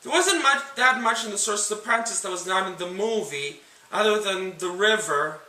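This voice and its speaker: Bright, high-voiced male host in echoey room. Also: animated, moderate pace. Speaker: narrator and host. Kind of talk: scripted book and film comparison narration.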